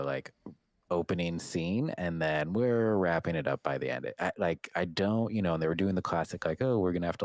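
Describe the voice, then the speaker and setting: A man with a deep voice talks casually as a podcast co-host. The sound is dry and close to the microphone. He rambles in a halting way, letting thoughts trail off and picking them up again. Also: no room sound. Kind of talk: casual unscripted TV review chat.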